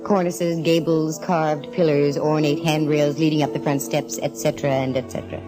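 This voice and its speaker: An actress in a 1940s radio drama playing a breezy, self-assured private eye, with wry, slangy delivery. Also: female voice, sits low, level tone, normal speed, over music, natural voice, poor audio. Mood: wry narrating. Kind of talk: 1940s radio acting, wry first-person detective narration and dialogue.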